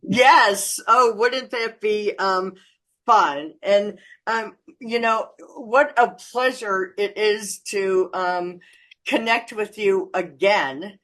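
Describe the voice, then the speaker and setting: A woman's voice: mid-range voice, takes it slowly, fairly bright tone. An American woman hosting an interview, chatty and effusive, with lots of fillers and laughter in her talk. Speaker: interview host. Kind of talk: casual interview chat.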